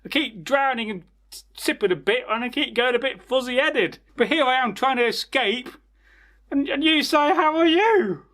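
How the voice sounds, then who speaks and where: Man as tiny storybook mouse, high-pitched, flustered, indignant, plaintive exclamations. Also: lively intonation, moderate pace, sharp tone, full character voice.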